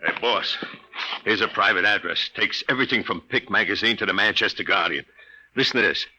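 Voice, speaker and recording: A man's voice: on the low side, fairly quick, pushed and bright, poor audio. An actor playing a dim, good-natured detective sidekick with slangy, ungrammatical tough-guy talk in a 1950s radio drama. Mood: eager, puzzled.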